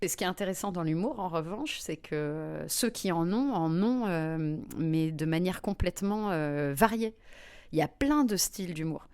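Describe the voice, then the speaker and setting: A woman comedian, a guest in an interview, telling lively personal anecdotes with frequent fillers. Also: lowish voice, moderate pace, clean tone. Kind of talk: anecdotal storytelling in conversation.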